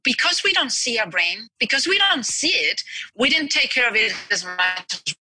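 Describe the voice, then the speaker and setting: A woman's voice: fairly high pitch, sing-song, moderate pace, pushed and bright, no echo, low-quality recording. A woman from Spain with a Spanish accent, a health coach earnestly explaining her work in an interview. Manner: earnest, explanatory, with small restarts. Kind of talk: interview answers.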